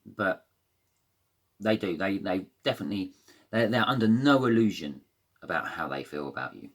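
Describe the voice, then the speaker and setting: Deep-voiced, croaky man reading tarot. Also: expressive, normal speed. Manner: rambling, hesitant, many fillers and restarts. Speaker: tarot reader. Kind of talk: casual tarot card reading.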